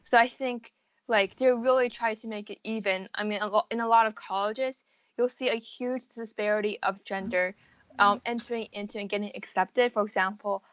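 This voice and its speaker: A woman's voice: medium pitch, steady tone, normal speed, no echo. Young American woman, dull lo-fi sound. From United States. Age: around 18 to 19. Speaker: interviewee, college student. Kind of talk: casual interview answers.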